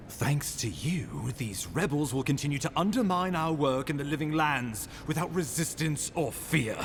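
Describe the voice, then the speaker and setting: An actor playing a beleaguered imperial ambassador in a video game, formal, theatrical and exasperated. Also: male voice, medium pitch, fairly bright tone. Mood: angry, exasperated. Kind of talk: video game character acting.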